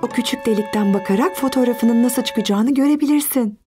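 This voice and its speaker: A woman voicing a young boy's kind mother in a children's cartoon dub, gently instructive. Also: a little high, fairly quick, faint music behind, lightly acted. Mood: encouraging.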